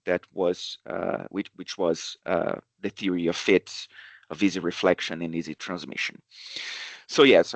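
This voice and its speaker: A man gives an academic seminar talk in English, his voice low and heard dry and close. He pauses often, filling gaps with "uh" and starting phrases over as he works through his points. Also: no echo. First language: Portuguese. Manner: hesitant, many fillers and restarts. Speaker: research seminar speaker.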